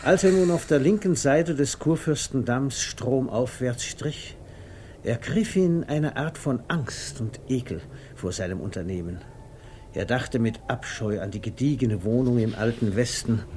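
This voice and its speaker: A man narrating a literary 1950s radio play in a measured, deliberate, storytelling way. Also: mid-range voice, expressive, darkish tone. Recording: faintly noisy vintage radio recording.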